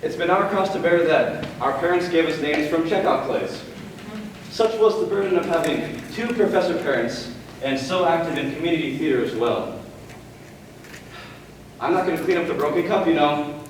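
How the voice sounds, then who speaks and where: Stage comedy role: sarcastic, tired middle-aged brother played by a young man, higher-pitched, heard from far off in a noisy hall. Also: lively intonation, moderate pace, natural voice. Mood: weary, irritated. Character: weary, sarcastic middle-aged brother, placating but needling. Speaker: actor in a school play. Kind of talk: live amateur stage comedy acting. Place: large indoor stage in an auditorium. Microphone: distant camera microphone. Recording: echoey auditorium from far off, noisy.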